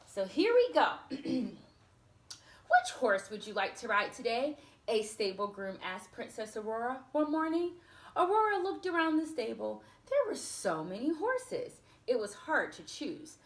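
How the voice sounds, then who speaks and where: A woman reading a storybook aloud to children, expressive, with chatty asides spoken straight to her young listeners. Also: on the high side, echoey room.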